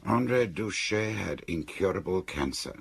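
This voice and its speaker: An actor playing a shrewd, inquisitive confidant in a radio drama, with a gravelly, very husky voice and a probing, considered manner. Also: male voice, deep voice, expressive, measured pace, full character voice.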